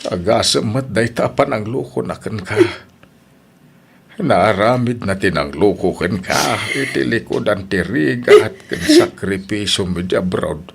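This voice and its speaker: A man's voice: fairly low pitch, animated, a little slow, gravelly, slight background noise. A man with an Ilocano accent playing a sorrowful older father in an audio drama. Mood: grave, sympathetic.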